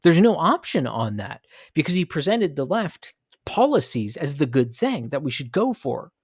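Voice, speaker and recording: A man's voice: fairly high pitch, sing-song, moderate pace, breathy edge, no room sound, studio quality. Emphatic man hosting a commentary podcast. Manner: emphatic, rhetorical questions, repeats phrases. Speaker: podcast host. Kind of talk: opinionated commentary.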